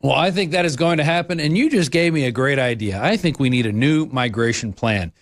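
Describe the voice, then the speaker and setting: A man hosting a call-in talk radio show, speaking in a brisk, upbeat, conversational way as he takes callers. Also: medium pitch.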